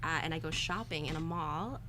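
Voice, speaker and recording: A woman from Shanghai, a comedian guest, telling lively, sarcastic anecdotes in casual chat. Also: low-pitched, brisk, noisy background. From Shanghai, China.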